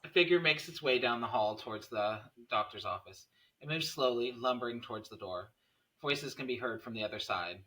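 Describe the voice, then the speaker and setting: A man's voice: mid-range voice, a little fast, a bit bright. A man reading the stage directions of a horror screenplay as scripted narration.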